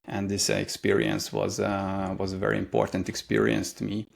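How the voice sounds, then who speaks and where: Gravelly Hungarian-accented man, low voice. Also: level tone, normal speed. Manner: thoughtful, many hesitations and fillers. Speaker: guest, career mentor. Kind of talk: casual interview answers.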